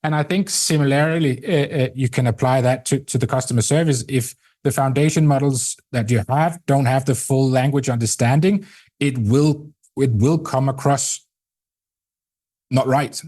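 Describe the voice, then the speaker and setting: A middle-aged man from Denmark talks through an interview in English with a Danish accent. His voice is mellow and warm, and he stumbles forward with many repeated words and filler sounds as he builds each thought. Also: mid-range voice, normal speed. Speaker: company CEO, guest. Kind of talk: interview conversation.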